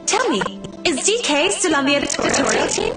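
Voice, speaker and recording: Woman as a breezy, animated professional designer. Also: high-pitched, normal speed. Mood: breezy, sociable. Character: confident professional female designer, breezy and worldly. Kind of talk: visual novel character dialogue. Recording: loud music over the voice.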